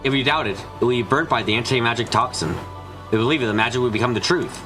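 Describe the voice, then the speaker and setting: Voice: medium pitch, fast talker, a bit bright, close to an everyday voice. An amateur actor voicing a musing, theatrical young witch in a visual novel fan dub, with music behind. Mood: earnest, reflective.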